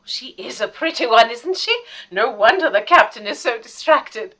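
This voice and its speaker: Woman playing chatty eager detective's sidekick in an old-time radio play, high lively voice, gossipy. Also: moderate pace. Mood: curious, gossipy. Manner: inquisitive, deferential, gossipy. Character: eager, chatty, admiring female detective's assistant. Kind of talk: period radio drama acting.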